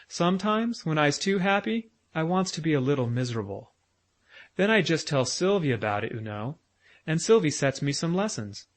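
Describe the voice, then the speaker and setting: A man's voice: fairly high pitch, very animated, normal speed, pitched above the performer's own voice. A man doing Bruno, a lisping little boy. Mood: earnest. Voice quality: childish lisping baby talk. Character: little boy, fairy child, babyish and plaintive.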